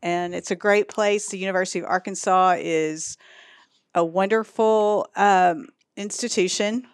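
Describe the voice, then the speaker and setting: A middle-aged woman from East Texas with a Texan accent, a guest in a relaxed, friendly interview who laughs lightly. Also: medium pitch, unhurried, smooth, dry recording, clean studio sound. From East Texas, USA. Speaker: guest, social work school director. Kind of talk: casual interview chat.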